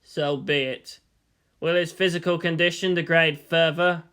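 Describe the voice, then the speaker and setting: A narrator voicing a cold, calculating middle-aged male commander in a slow, deliberate, grave manner. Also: medium pitch, fairly even intonation, a bit bright, clear, resonant tone, lightly acted.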